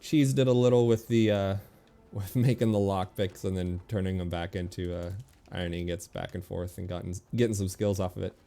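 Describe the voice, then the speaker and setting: A man with a very deep voice and a fair amount of fry talks through a game as he plays. He is casual and relaxed, muttering his decisions aloud over music. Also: a little fast. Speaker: video game streamer. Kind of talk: casual gameplay commentary, thinking aloud.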